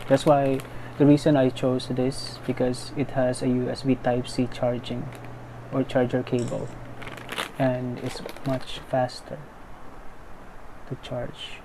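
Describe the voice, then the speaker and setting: A man's voice: mid-range voice, completely flat delivery, slow. A man giving casual, unscripted unboxing commentary, thinking aloud in a tentative way. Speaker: unboxing video maker. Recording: clearly noisy background.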